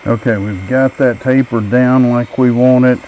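A middle-aged man with a calm, plain-spoken manner, teaching woodturning step by step in his home workshop. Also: medium pitch, a little slow, dull audio. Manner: calm, plain-spoken, thinks aloud while working. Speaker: woodturning instructor. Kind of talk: relaxed step-by-step workshop narration. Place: home woodworking shop with a running lathe. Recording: workshop with clear lathe and tool noise.

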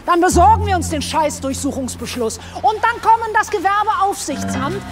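Female detective: high-pitched, commanding, sarcastic street talk for radio drama. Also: lively intonation, normal speed, music in the background. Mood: threatening. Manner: blunt, commanding, colloquial. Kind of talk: naturalistic radio drama acting.

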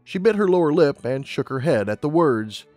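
A man's voice: on the high side, big pitch swings, normal speed, a little mellow, no echo. Dry-voiced male storybook narrator over music. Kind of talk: scripted audiobook narration.

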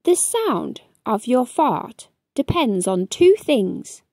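A young adult woman reading a children's book aloud as storytime host, expressive and deliberate, speaking to young children. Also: on the high side, slightly rough, no room sound, clean studio sound. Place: small furnished room indoors.

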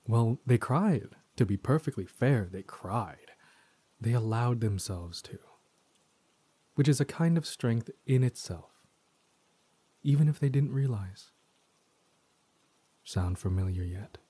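A man playing a menacing, tormented villain spirit in a stolen body, in a theatrical, deliberate audio drama monologue that dips toward whispers. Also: medium pitch, expressive, warm tone, husky, no echo. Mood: taunting storyteller.

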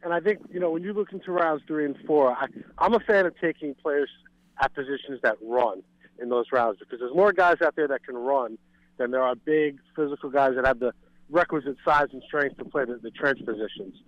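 A man's voice: mid-range voice, a little fast, dry recording. A man calling in to a sports show with casual, explanatory opinions, over a call-in line. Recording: slightly dull call-in line.

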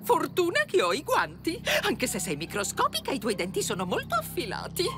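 A woman playing a gloating, mocking villainess in a cartoon dub, theatrical and drawn-out. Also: sits high, huge pitch swings. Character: gloating villainous woman hunting a tiny girl. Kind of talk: animated cartoon dub acting. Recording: loud music behind the voice.

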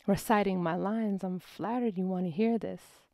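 A woman reading her own poetry as spoken word, intimate and expressive, with phrases dropping into breathy softness. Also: medium pitch, normal speed, dry recording, studio quality. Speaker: poet reading own work.

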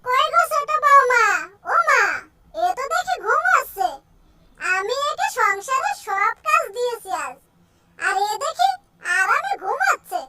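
Woman acting a shrill, bossy older mother-in-law. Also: sing-song, measured pace, dry recording, full character voice. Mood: scolding. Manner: nagging, sharp, threatening. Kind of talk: cartoon dub acting.

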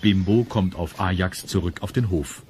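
A man's voice: deep voice, normal speed, background noise. A man narrating a children's audio drama in a scripted storytelling style. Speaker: narrator of a children's radio play.

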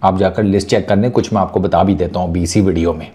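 Man, 30s; relaxed, joking sports host, mellow tone. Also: low voice, quick pace. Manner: chatty and joking, mixing in English words, reels off lists. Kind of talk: casual talking-head sports commentary. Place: small furnished studio set indoors. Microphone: lapel mic.

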